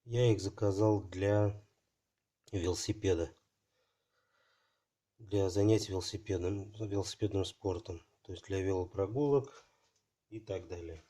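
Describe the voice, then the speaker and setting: Low, mellow man with a cold. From Russia. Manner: halting, thinking aloud, many fillers like "so" and "there". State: voice affected by a cold. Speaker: channel host unboxing parcels. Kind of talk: casual unboxing commentary.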